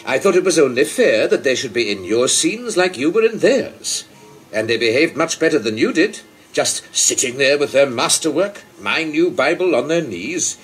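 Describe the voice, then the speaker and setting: A man's voice: mid-range voice, fairly bright tone, poor audio. An actor playing a genial, talkative king of England in a radio play, with a theatrical, condescending manner. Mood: teasing, self-satisfied. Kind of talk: broadcast radio play acting.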